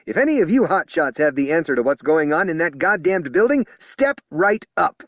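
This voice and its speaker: Brash barking police spokesman , a male narrator putting him on, raised and loud. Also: on the high side, sing-song, moderate pace, no room sound, voice pushed up. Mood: angry. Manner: shouting, commanding.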